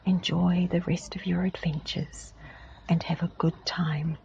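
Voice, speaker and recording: Soft-spoken female ASMR reader, lowish voice, gentle half-whisper, faint hiss behind. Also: level tone, measured pace, creaky, highs cut off. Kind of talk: soft-spoken storybook reading.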